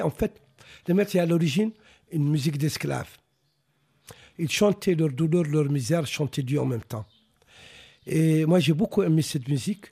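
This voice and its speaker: A Mauritanian novelist, whose first language is Arabic, answers interview questions in a thoughtful way, often pausing and restarting his sentences. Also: a little high, creaky, warm tone, breathy edge. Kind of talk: reflective radio interview answers.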